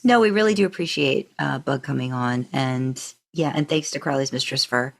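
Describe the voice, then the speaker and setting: A woman's voice: rumbling low, moderate pace, gravelly. A woman co-hosting a podcast, talking in a casual, conversational style. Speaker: podcast co-host. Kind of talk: casual chat and podcast sign-off.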